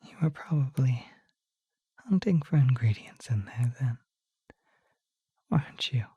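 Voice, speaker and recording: Woman as a slow, whispering gentle naga boy. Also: extremely low pitch, no room sound, highs cut off, full character voice. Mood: soft, reassuring. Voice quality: breathy whisper. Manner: soft, hesitant, halting. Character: gentle, shy young male naga protecting a human. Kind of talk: ASMR roleplay. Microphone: close microphone, dry.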